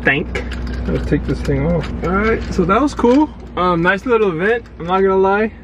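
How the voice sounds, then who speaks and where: A young man vlogging casually while shopping a flea market, chatting with sellers in relaxed slang, recorded on a body-worn camera. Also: sits high, moderate pace, background noise. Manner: laid-back slangy banter. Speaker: vlogger and reseller. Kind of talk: casual vlog chat. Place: outdoor flea market and parked car.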